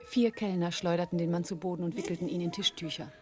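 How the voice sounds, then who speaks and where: An actress playing a sardonic, worldly smuggler's wife in a German radio play, with a husky, breathy voice. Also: female voice, on the low side, steady tone, fast, over music, close to an everyday voice. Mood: tense narrating.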